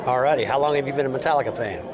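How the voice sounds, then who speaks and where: A man interviewing fans outdoors before a concert, upbeat and quick with short stock replies. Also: medium pitch. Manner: quick, upbeat, keeps saying "right on" and "alrighty". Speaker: fan vlogger interviewing fans. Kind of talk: casual vox-pop interviews. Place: outside a concert arena among crowds. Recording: loud crowd noise behind.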